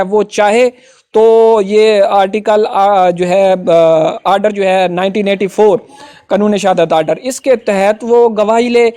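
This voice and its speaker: A man's voice: high-pitched, a little mellow. A man with a Pakistani accent giving an informal explanatory law lecture, often adding little tags to check that listeners follow.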